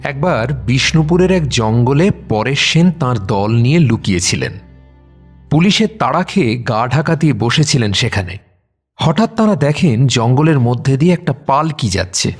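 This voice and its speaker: Male storyteller with a mid-pitched voice. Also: animated, moderate pace, breathy edge. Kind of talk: scripted narration of an adventure tale. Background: music.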